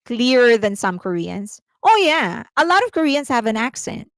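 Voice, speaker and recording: A woman's voice: on the high side, sing-song, normal speed, no echo, clean studio sound. A Filipino woman with a Filipino accent, hosting a casual commentary stream, chatty and explanatory, reading comments aloud and laughing often. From Philippines. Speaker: host.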